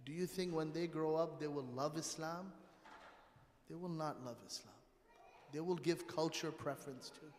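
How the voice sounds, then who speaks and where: A man's voice: fairly high pitch, slight background noise. Emphatic man preaching in an echoing hall. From born in Pakistan, grew up in Canada. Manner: emphatic, short repeated phrases with pauses. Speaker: religious teacher at a mosque. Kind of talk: informal sermon to a congregation. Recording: reverberant hall, long echo.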